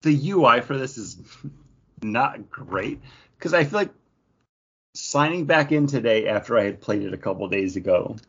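A man co-hosting a podcast in casual chat, rambling and animated, acting out tapping through game menus. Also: mid-range voice, moderate pace, husky, muffled recording. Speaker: podcast co-host.

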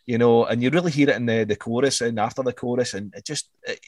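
A man's voice: medium pitch, quick pace, a little mellow, no room sound, clean studio sound. A man, casually talking through an album as a podcast co-host, rambling and swearing, repeating words as he goes.

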